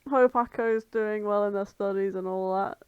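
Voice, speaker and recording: A woman's voice: mid-range voice, steady tone, moderate pace, a little mellow, clear, resonant tone, no room sound, highs cut off. A British woman talks in an informal, sweary podcast style. Her voice sits in a middling register. The recording is very dry, with hardly any room sound. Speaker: podcast co-host. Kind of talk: casual chat about fan fiction.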